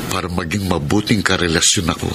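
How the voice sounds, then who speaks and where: Gentle, low-voiced suitor. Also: male voice, steady tone, quick pace. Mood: tender, earnest. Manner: gentle, sincere, romantic. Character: earnest, tender suitor courting a troubled married woman. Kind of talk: radio drama acting. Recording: noisy outdoor ambience bed.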